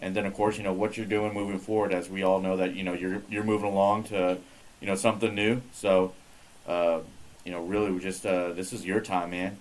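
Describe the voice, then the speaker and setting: A man's voice: low-pitched, level tone, brisk, poor audio. A man hosting a podcast interview, talking in a laid-back, rambling way full of fillers. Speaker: podcast host. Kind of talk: casual interview chat.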